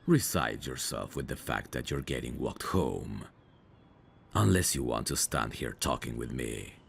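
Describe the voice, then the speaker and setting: Dangerous male mafia boss, played by a voice actor, ASMR audio drama: slow, lively intonation, self-assured teasing, protective streak. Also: rumbling low, vocal fry, a little hiss or hum, exaggerated voice. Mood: smug, insistent.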